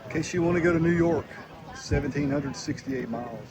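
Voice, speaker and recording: A man's voice: a little high, fairly quick. A man narrating a casual travel vlog outdoors in a laid-back, folksy manner. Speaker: travel vlogger. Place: outdoors on walkways, boat and island. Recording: outdoors with music and crowd behind.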